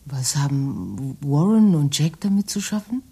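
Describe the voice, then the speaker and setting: An actress playing a wry, hungover wife in a radio play, speaking in a dry, sardonic manner. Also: female voice, deep voice, expressive, measured pace, mellow, slightly rough, heavily acted. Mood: dry, irritated. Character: wry, hungover wife teasing her anxious husband. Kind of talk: naturalistic German radio play dialogue.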